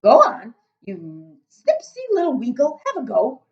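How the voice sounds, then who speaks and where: A woman voicing a huge, very old, kindly male giant who talks loudly with jumbled grammar and made-up words, in a storybook reading. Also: mid-range voice, sing-song, moderate pace, lo-fi sound, voice pushed up, close to an everyday voice. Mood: disgusted, teasing.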